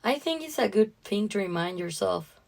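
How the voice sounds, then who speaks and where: A woman's voice: mid-range voice, lively intonation, moderate pace, vocal fry, darkish tone. A woman co-hosting a podcast, talking conversationally about short stories. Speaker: podcast co-host. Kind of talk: conversational story discussion.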